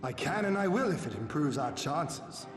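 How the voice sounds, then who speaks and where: An actor playing a resolute young lord in a fantasy game, formal and commanding in his deliberate speech. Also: fairly high pitch, very animated. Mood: determined. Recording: roomy, long reverb, clear music behind.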